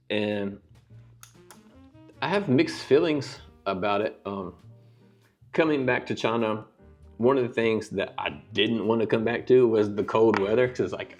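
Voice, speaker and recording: A low-pitched American man in his forties speaks on a clip-on lapel mic in his living room. His talk is loose and rambling, full of ums and restarts. Faint music plays softly underneath. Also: lo-fi sound. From United States. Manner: rambling, many fillers and restarts. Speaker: vlogger. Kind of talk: casual talking-head vlog.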